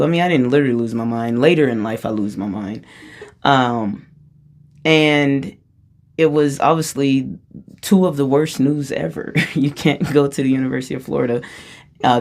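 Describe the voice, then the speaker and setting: A woman with a low-register voice telling a personal story in a casual, rambling way, often breaking off and restarting her sentences and laughing as she talks. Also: vocal fry, darkish tone. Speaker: vlogger.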